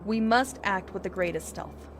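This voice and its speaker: A woman's voice: mid-range voice, moderate pace, a little hiss or hum. A woman game streamer reading video game dialogue aloud in character, with casual asides. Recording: music behind the voice.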